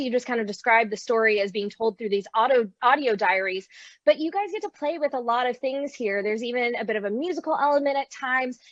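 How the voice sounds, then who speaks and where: A woman's voice: a little high, dry recording, lo-fi sound. A woman moderating a panel interview as a reporter over a remote video call.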